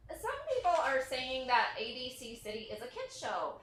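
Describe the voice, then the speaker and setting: A woman's voice: sits high, big pitch swings, moderate pace, fairly bright tone. A woman playing a polite but skeptical TV news interviewer with a pointed manner, in a staged play reading. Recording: echoey, distant, faintly noisy reading audio.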